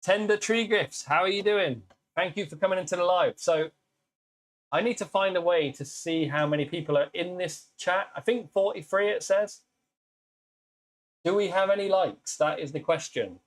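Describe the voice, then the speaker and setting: A British man with a naturally loud voice, hosting a casual live stream demo and chatting warmly with viewers. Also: sits high, moderate pace. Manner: chatty, upbeat, greets viewers by name. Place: workshop with laser engravers.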